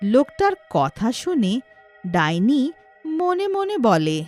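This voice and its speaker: A woman's voice: sits high, big pitch swings, takes it slowly, a little mellow, faint music behind. A woman narrating a scripted moral horror tale as a storyteller.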